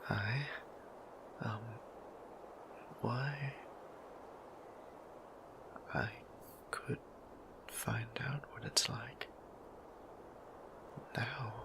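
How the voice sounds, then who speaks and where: A man voicing a shy, tender vampire priest in an intimate romantic audio roleplay, speaking in a breathy, hesitant whisper with creaky edges. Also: mid-range voice, background noise. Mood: flustered, shy. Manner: hesitant, halting, gentle. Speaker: voice actor.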